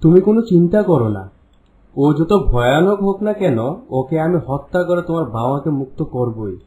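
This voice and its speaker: A man's voice: on the high side, animated, normal speed, dark tone, dull audio, a little hiss or hum, lightly acted. A man voicing a brave, earnest young orphan hero in a cartoon story, speaking in a reassuring, determined way.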